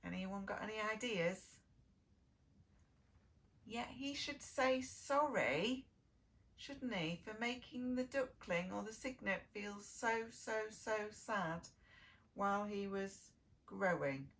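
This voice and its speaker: A woman reading a children's storybook as a preschool lead, with sing-song delivery, dramatic pauses and simple questions for young listeners. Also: mid-range voice, a bit bright. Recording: distant microphone.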